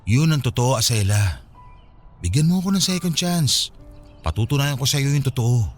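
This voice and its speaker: A man's voice: mid-range voice, lively intonation, moderate pace, faint music behind, lightly acted. A man with a Filipino accent playing a remorseful, earnest absent father and husband in a radio drama. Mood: pleading.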